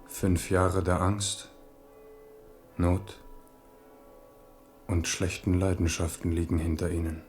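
A grave king in his forties, speaks low in a radio drama. His monologue is solemn and reflective, with faint music behind it. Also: little pitch movement, takes it slowly, pauses often, darkish tone. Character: forty-year-old French king, reflective and grave. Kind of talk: German radio drama acting.

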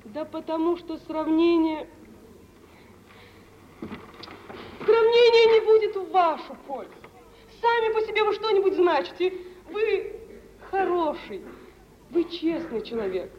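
An actress playing a proud, sensitive young woman in a stage drama, with impassioned theatrical delivery and weighty pauses, in mid-century radio theatre style. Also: very high voice, very animated, echoey room. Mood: fervent, adoring. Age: young adult. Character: proud, sensitive young dowerless bride, yearning and wounded. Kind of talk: 1950s Russian radio theatre acting. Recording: muffled, hissy mid-century radio recording.

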